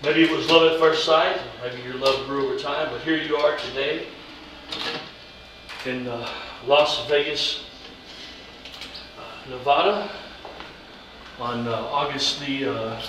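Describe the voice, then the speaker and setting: A man with a drawl plays an Elvis-style officiant at a wedding. He teases and pauses often as he goes. The sound is roomy and distant, with clear background noise. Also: medium pitch, fairly even intonation. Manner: halting, drawling showman, teasing. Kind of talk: jokey wedding ceremony patter in Elvis impersonation. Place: wedding chapel in Las Vegas.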